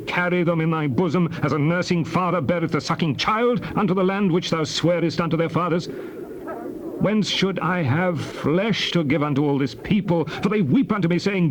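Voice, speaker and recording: An actor playing a burdened prophet in a scripture drama, declaiming earnestly. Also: male voice, on the high side, natural voice. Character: Moses, burdened male prophet pleading with God. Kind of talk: dramatized scripture reading in archaic English. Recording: faintly hissy old tape.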